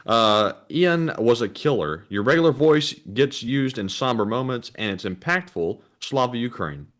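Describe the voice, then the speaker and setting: Guy reading donation messages, calm, kinda monotone, mid pitch. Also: normal speed. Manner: calmer, more monotone voice. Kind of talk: live stream, reading messages.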